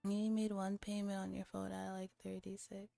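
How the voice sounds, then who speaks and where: Female VTuber host, soft mellow tone, dry close mic with faint music behind, casual and playful chat. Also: mid-range voice, monotone, moderate pace, creaky, no echo. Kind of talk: casual livestream chat, reading a visual novel aloud.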